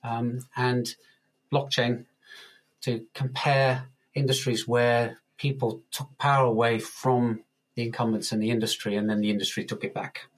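A man's voice: mid-range voice, unhurried, rough recording. A man with a mid-range voice, interviewed as an author on a podcast. He speaks casually and explains ideas through analogies. He chuckles lightly as he goes. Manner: relaxed, chuckles often, uses analogies.

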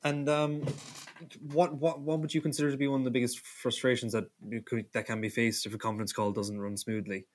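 A man's voice: medium pitch, little pitch movement, brisk. A man co-hosting a podcast, putting questions to a guest in a conversational way. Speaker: podcast co-host.

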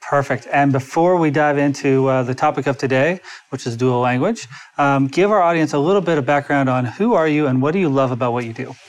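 Guy hosting a studio podcast, upbeat, short questions, mid-pitched voice. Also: a little fast, few pauses. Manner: upbeat, brief prompts. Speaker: podcast host. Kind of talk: casual interview hosting.

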